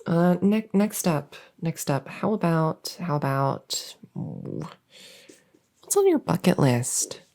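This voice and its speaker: A woman playing a silly, harmless monster from under the bed in an ASMR audio roleplay, rambling softly and hesitantly with awkward earnestness. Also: on the low side, lively intonation, slow. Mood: awkwardly earnest. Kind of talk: ASMR audio roleplay, one-sided improvised-sounding interview.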